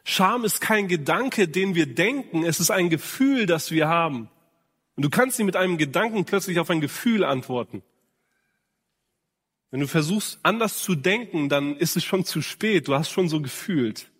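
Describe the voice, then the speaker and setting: A man's voice: a little high, expressive, normal speed, fairly bright tone, husky. A man preaching a sermon in speech, addressing listeners earnestly and directly. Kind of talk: sermon to men. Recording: long hall reverb.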